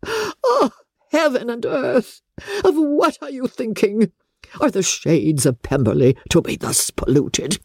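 A woman's voice: fairly high pitch, big pitch swings, slow pace, darkish tone, slightly rough, dry recording, clean studio sound, pitched above the performer's own voice, heavily acted. A woman voicing a haughty, incensed older noblewoman in a dramatic novel reading, imperious and declamatory. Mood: indignant, scornful.

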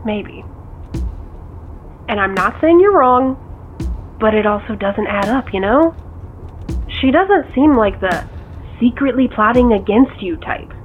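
A woman playing a skeptical, reasonable confidante in an audio drama, measured and wry, heard through a phone filter. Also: fairly high pitch, animated, a little hiss or hum. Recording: muffled phone-like line, music behind.

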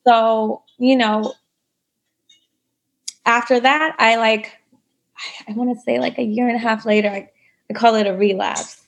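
A woman's voice: fairly high pitch, steady tone, measured pace, creaky, pauses often, rough recording. A woman telling her own story in a casual interview, chatty, full of likes and you knows. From United States. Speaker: guest.

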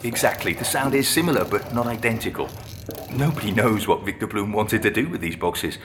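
A friendly, thoughtful restorer played by a man with a fairly low voice. He explains his craft in an unhurried, natural audio-drama style, with faint ambient noise behind him. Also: animated, close to an everyday voice. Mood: calm, explanatory. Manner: unhurried, explanatory, conversational with hesitations. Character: friendly, thoughtful male music-box restorer, fascinated by mysteries. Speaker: actor playing an interviewee.

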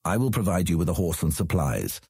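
A man playing a proud, measured ruler in a fantasy audio drama, speaking formally and with deliberate command. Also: low voice, big pitch swings, vocal fry, darkish tone, breathy edge, dry recording, studio quality, heavily acted. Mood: stern, measured. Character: proud, measured ruler of an outland city on his throne.

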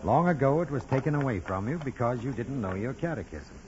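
An actor playing a kindly, solemn parish priest in 1940s radio drama style, measured and gently paternal. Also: male voice, mid-range voice, animated, background noise, natural voice. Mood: tender farewell. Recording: dull vintage radio recording, music behind.

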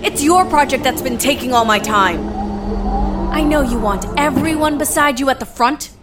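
A woman's voice: sits high, lively intonation, moderate pace, heavy background noise. A woman acting an overworked, defensive engineer in a science fiction audio drama. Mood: exasperated, angry.

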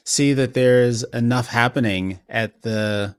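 A man's voice: mid-range voice, slow pace, smooth, no echo. A man hosting a tech podcast, asking questions in a friendly, curious way with some fillers. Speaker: podcast host. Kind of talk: interview hosting.

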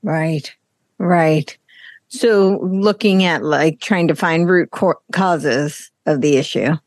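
A woman's voice: deep voice, slow pace, no room sound. A woman hosting a podcast interview, asking friendly, inquisitive questions. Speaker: podcast host.

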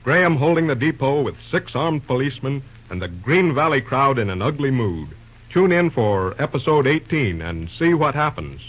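Male serial announcer: husky, mid-pitched, scripted vintage radio delivery, muffled sound. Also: big pitch swings, normal speed. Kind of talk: old-time radio scripted narration. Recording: muffled old radio recording.

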